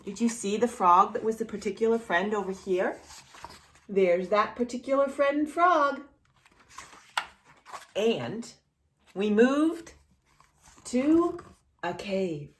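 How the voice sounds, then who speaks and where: Slow, very lively woman reading to children. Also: mid-range voice, a little hiss or hum. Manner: playful, expressive, exaggerated reactions, addresses the child directly. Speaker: children's storyteller and channel host. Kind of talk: read-aloud children's story with questions to young listeners.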